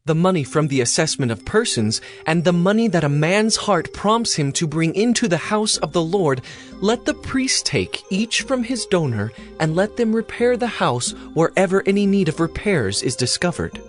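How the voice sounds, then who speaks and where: A man plays a king of Judah in an audio Bible drama. The voice sits a little high, formal and full of authority as it instructs, with faint music underneath. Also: big pitch swings, normal speed. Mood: formal, decreeing.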